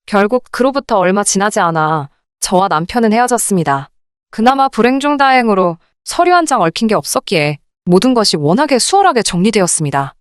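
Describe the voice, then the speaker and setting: A woman reading a scripted first-person story in an expressive, indignant radio drama style. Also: fairly high pitch, clean tone, no room sound, clean studio sound. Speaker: narrator of dramatized listener stories.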